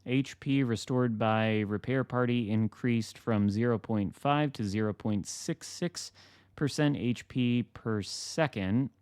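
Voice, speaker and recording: A man's voice: on the low side, darkish tone, clean tone, dry recording, clean studio sound. A man hosting a gaming video, reading patch notes aloud with casual, mildly skeptical asides.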